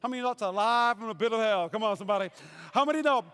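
A man's voice: high voice, very fast, a bit bright, clear, resonant tone. A pastor from North Dakota preaching a humorous sermon, playfully quizzing his congregation with call-and-response. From North Dakota, USA.

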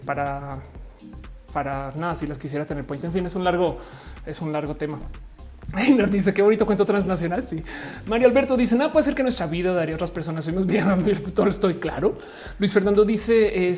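Mellow, low-pitched Mexican woman chatting. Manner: colloquial, slangy. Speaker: livestream host answering viewer questions. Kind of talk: casual chat, reading out comments and replying.

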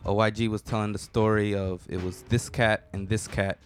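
A man in casual conversation, speaking in a measured, easygoing way. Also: fairly low pitch, flat delivery, faint music behind. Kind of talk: casual chat.

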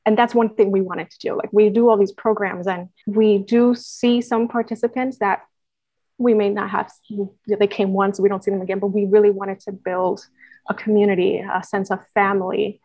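A woman's voice: medium pitch, fairly even intonation, moderate pace, mellow, no echo, poor audio. A woman from Puerto Rico answering interview questions as an organization's staff member, earnestly and with many fillers and restarts. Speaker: guest, nonprofit staff member.

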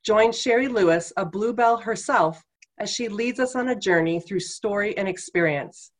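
A woman announcer reading a scripted podcast introduction in a smooth, formal way. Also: mid-range voice, moderate pace.

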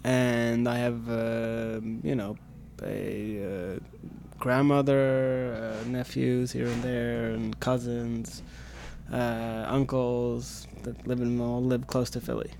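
A man's voice: mid-range voice, little pitch movement, slow pace, creaky, darkish tone, a little hiss or hum. A man in his twenties who grew up in Sweden, answering interview questions as a camp staff guest, hesitant with many fillers. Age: 20s. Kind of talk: casual interview.

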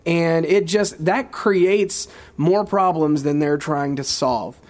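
A man with a somewhat high voice, talking in a casual podcast conversation. He speaks earnestly but haltingly, repeating words as he looks for the right ones. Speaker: podcast co-host.

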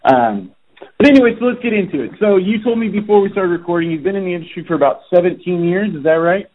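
A man's voice: high voice, expressive, fast talker. A man hosting a podcast, interviewing his guest in a friendly, chatty way. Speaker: podcast host. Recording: tinny phone-like line.

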